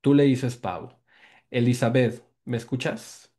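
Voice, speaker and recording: Mexican man, guest lecturer, dark mellow tone, patient and repetitive, heard through a call mic. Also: medium pitch, fairly even intonation, unhurried. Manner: explanatory, repeats key words and checks in with "¿no?". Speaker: guest lecturer presenting research. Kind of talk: academic presentation on a video call. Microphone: video call microphone.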